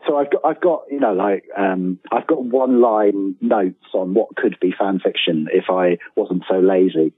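A man's voice: deep voice, unhurried, hardly any pauses, breathy edge. A middle-aged British man chatting casually as an interview guest, self-deprecating, often repeating words as he tells anecdotes. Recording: thin phone-like line, roomy echo.

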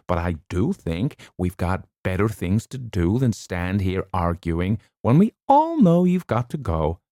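A man voicing a brisk, bossy middle-aged woman who waves away the fuss, in an audiobook reading. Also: sits low, big pitch swings, dark tone, dry recording, clean studio sound. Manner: brisk, dismissive.

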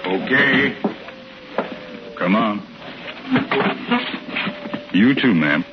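Cold, low-voiced radio gunman. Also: expressive, very slow, very noisy. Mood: cold menace. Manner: terse, commanding. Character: cold, menacing killer holding a couple hostage. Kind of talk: 1950s radio drama acting. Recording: muffled old radio recording.

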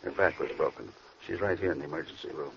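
An actor playing a sober, matter-of-fact police lieutenant in a 1940s radio crime drama. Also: male voice, extremely low pitch, fast talker, gravelly. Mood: official. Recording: noisy muffled old radio recording.

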